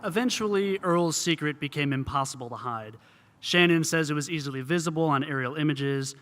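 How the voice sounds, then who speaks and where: Man, radio reporter, scripted narration, bright forward voice, higher pitch, faint background noise. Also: brisk.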